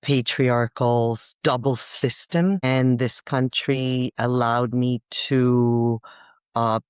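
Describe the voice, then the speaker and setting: Slow, smooth-voiced woman. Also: extremely low pitch, fairly even intonation, a little mellow, no room sound, lo-fi sound. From Costa Rica. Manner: thoughtful, measured, earnest. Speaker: architect guest. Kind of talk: reflective interview talk.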